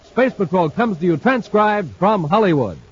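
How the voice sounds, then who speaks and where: A male radio announcer reading ads and promos in a booming, salesy, emphatic 1950s style. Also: big pitch swings, normal speed. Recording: muffled old radio transcription with faint hiss.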